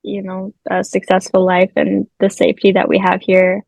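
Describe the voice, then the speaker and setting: A woman's voice: medium pitch, completely flat delivery, normal speed, creaky, a little mellow, dry recording. A nineteen-year-old woman, a magician chatting casually and upbeat as a guest over a remote call. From South Africa, raised in Vancouver. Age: late teens. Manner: chatty, upbeat, lots of fillers. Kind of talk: casual chat.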